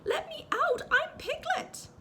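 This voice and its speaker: A woman voicing a tiny, timid male piglet with a very high, squealing voice. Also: animated, takes it slowly, bright, forceful tone, slight background noise, exaggerated voice. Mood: panicked.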